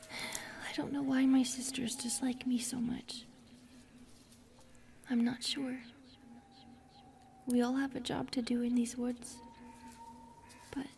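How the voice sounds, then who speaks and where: ASMR roleplay: woman to play lonely, gentle forest spirit, young female. Soft hesitant whisper, unhurried, dark-toned and tender. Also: fairly high pitch, slight background noise. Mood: touched, hesitant.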